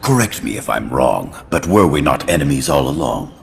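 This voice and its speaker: An actor playing a smug, scheming middle-aged Soviet spymaster, speaking theatrically in a dramatic video game. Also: male voice, rumbling low, sing-song, normal speed, vocal fry, over music, full character voice.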